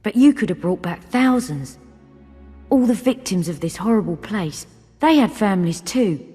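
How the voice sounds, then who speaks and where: An actress playing a young, blunt and earnest female warrior monk in a fantasy game, plain-spoken and husky. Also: mid-range voice, expressive, moderate pace, warm tone. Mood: reproachful. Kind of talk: dramatic fantasy game acting. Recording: long reverb, music behind.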